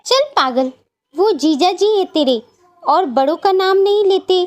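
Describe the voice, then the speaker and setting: A woman's voice: very high voice, expressive, unhurried. A woman voicing a young woman in a cartoon family horror story. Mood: sweet, fond. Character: young Indian woman, cartoon bride or sister. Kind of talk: Hindi cartoon story dialogue.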